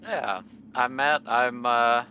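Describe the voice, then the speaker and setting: A man's voice: mid-range voice, takes it slowly, pushed and bright. A man playing a tabletop game, chatting casually in a hesitant, wry way. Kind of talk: casual chat. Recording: dull lo-fi mic, background noise.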